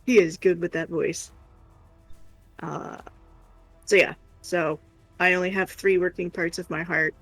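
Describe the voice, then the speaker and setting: A woman's voice: fairly low pitch, fairly even intonation, measured pace, pauses often, fairly bright tone, faint music behind. A woman chatting casually and candidly as a livestream co-host, rambling informally.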